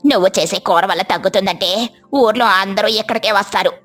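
A woman voicing a fussy, demanding crow neighbour in a cartoon fable, talking in a blunt, hurried manner. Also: medium pitch, a bit bright, faint music behind, pitched below the performer's own voice, close to an everyday voice. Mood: agreeing, earnest.

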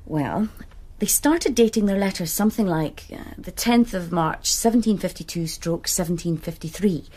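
A husky, eager explainer. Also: female voice, fairly low pitch, expressive, normal speed, a little mellow. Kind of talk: BBC radio drama acting.

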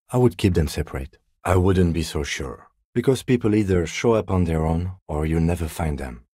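A restrained, courteous police lieutenant for a video game. His voice is deep, dark and husky, delivered in a measured deadpan with a dry, sardonic edge. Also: no room sound. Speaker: game character, police detective. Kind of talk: video game dialogue voice lines.

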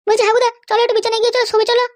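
A man voicing a caring cartoon aunt who coaxes and reassures in a squeaky voice. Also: level tone, racing, no room sound. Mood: caring, reassuring. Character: caring, soothing cartoon aunt tending the wounded. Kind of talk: amateur comedy cartoon dubbing.

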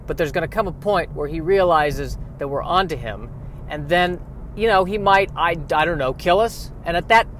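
A man's voice: a little high, normal speed, a bit bright, lightly acted. A man playing an anxious, exasperated straight man who stammers sarcastically in a comic sci-fi radio play. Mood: nervous. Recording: noisy recording.

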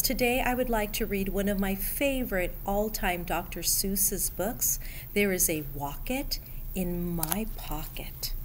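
A middle-aged woman reading a picture book aloud to children in an expressive, deliberate way, pausing as she addresses young listeners. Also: medium pitch. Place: school library room, indoors. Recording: steady background room noise.

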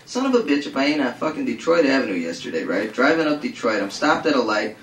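A man's voice: mid-range voice, fast, voice pushed down. A man voicing a brash, foul-mouthed racetrack gambler who spins a streetwise, colloquial yarn in a live reading. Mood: excited, amused. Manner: streetwise, colloquial, excitable storytelling. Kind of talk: acted character monologue in a live reading. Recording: roomy, distant mic, faint noise.

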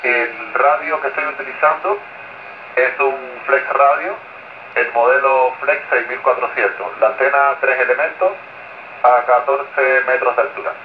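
A man comes through a radio, narrow and echoing, with clear noise around the voice. His pitch is average. He talks courteously, trading call signs and reports as an amateur operator. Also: fairly even intonation, unhurried. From Chile. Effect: radio transmission. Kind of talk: casual ham radio contact with call signs. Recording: noisy, narrow, echoing shortwave radio reception.

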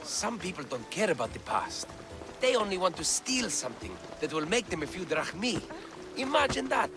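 An actor playing an eager, bookish scholar of antiquities in ancient Greece, with florid, theatrical enthusiasm in video game dialogue. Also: male voice, high-pitched, very animated, moderate pace, music in the background. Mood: earnest.

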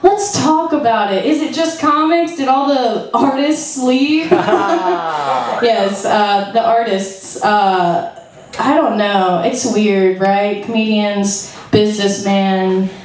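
A woman's voice: mid-range voice, slow, a little mellow. A young woman doing stand-up at an open mic, rambling loosely and laughing at her own jokes into a handheld stage mic. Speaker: stand-up comic. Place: small club stage with red curtain. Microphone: handheld stage mic, heard through PA. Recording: roomy club PA heard from far off.

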